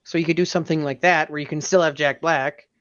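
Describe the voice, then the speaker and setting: A podcast co-host chatting casually in an informal, halting way, on a slightly muffled microphone. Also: mid-range voice, steady tone, a bit bright, dry recording. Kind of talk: casual chat.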